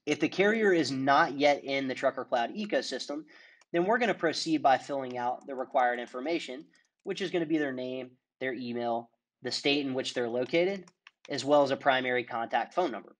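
Man narrating a software demo. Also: mid-range voice, fairly quick. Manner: steady, explanatory sales presenter. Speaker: product demonstrator.

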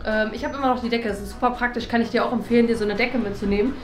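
A woman in her thirties with a slightly high voice hosts a casual vlog. She is recorded indoors on a handheld camera, with light music behind her. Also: steady tone, rapid-fire. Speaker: video host. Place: indoor rooms, studio and office. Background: background music.

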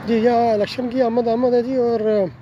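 High-voiced Pakistani man on a walking tour. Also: level tone, dull audio, background noise. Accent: Pakistani Urdu. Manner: polite, unhurried tour-guide commentary with frequent "ji" and "theek hai na". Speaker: travel vlogger. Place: quiet residential street outdoors at dusk. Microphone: handheld phone camera while walking.

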